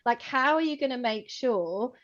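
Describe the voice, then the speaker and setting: A woman co-hosting an informal chat, talking in a chatty, animated way with lots of "like" and "you know" and laughing as she speaks. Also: fairly high pitch, moderate pace, lo-fi sound. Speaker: co-host, co-owner of a computing education company.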